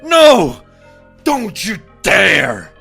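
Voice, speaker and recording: Obsessive young man, played by an actor: high, very slow, intense horror drama delivery over music. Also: wildly swooping pitch, vocal fry, sharp tone, exaggerated voice. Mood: bitter fury. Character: unhinged young man obsessed with becoming a hero. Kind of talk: horror audio drama acting.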